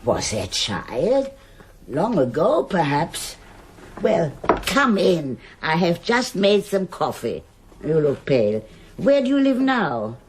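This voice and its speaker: A woman's voice: fairly low pitch, wildly swooping pitch, slow pace, background noise, exaggerated voice. An actress playing a stubborn, judgmental older woman in a radio drama. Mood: surprised, chatty. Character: stubborn, defensive old German woman, gossipy neighbour.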